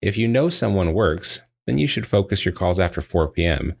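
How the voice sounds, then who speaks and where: A man narrating a scripted training lesson in a measured, explanatory way, as if reading from prepared text. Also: low-pitched, lively intonation, creaky, husky. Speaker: dental practice trainer.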